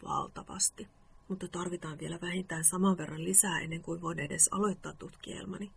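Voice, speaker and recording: A woman voices an earnest, pompous moomin father from a bedtime storybook. The voice is creaky, grave and full of self-importance. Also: lowish voice, fairly even intonation, full character voice. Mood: confiding.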